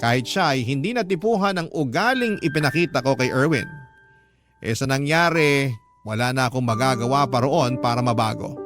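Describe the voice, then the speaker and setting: A man narrates a first-person love story from a script. His voice sits at a middling pitch, with music playing clearly behind it. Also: moderate pace, fairly bright tone. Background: music clearly under the voice.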